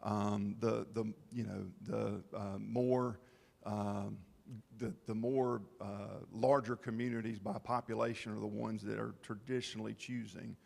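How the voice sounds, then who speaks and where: A man presenting at a town information session, explaining to the public in a halting, plain-spoken way. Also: on the low side, flat delivery, gravelly, darkish tone. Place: town meeting hall. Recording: long reverb of a meeting hall.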